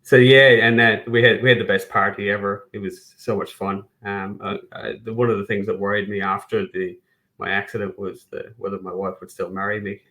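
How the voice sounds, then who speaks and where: A middle-aged Irish man from Kerry with a low, steady voice that barely rises or falls. He speaks as a podcast guest in easy conversation, with dry self-mocking humor and plenty of restarts. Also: fairly quick, poor audio. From Ireland. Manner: self-deprecating humor, frequent restarts and fillers. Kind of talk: casual podcast interview.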